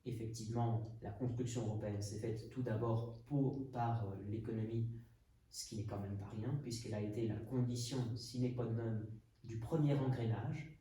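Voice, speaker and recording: A man's voice: on the low side, steady tone, moderate pace, warm tone. A man from Portugal hosting a podcast conversation, thinking aloud and hesitating often. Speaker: podcast host. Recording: echoey room, mic at a distance, faint noise.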